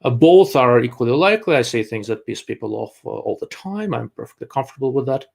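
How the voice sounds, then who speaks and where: A man's voice: mid-range voice, expressive, quick pace, creaky, darkish tone. A man hosting a live stream, reading viewer questions and answering them bluntly while thinking aloud with frequent hesitations. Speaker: livestream host answering viewer chat. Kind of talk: casual live-stream chat.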